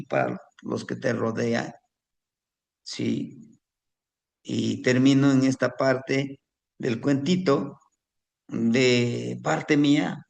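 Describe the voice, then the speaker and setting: A man from Mexico, a native Nahuatl speaker with a Mexican Spanish accent, telling a personal story to a class in a slow, reflective way. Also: medium pitch, vocal fry. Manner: slow, reflective, warmly addresses listeners as family.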